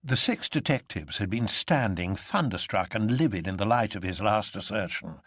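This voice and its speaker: A man's voice: fairly low pitch, lively intonation, normal speed, a bit bright, husky, no room sound, studio quality. A man reading dramatic scripted narration of a classic novel. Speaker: narrator and reader.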